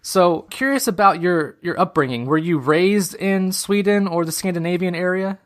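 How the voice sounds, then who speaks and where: A man's voice: sits high, normal speed. An American man hosting a podcast, introducing and casually interviewing a guest.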